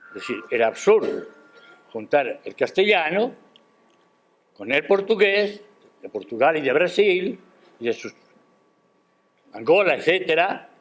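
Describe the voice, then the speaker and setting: An older man giving a formal academic speech at a university ceremony, measured and emphatic, posing rhetorical questions. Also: fairly high pitch, big pitch swings, fairly bright tone. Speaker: guest speaker at a university ceremony. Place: university auditorium.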